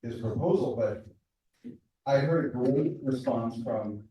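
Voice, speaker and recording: A man's voice: medium pitch, takes it slowly, muffled recording, slight background noise. A man in the audience making a statement and then asking a question, stumbling and repeating words as he goes. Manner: hesitant, repeats words as he builds his point. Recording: very echoey hall, far from the mic.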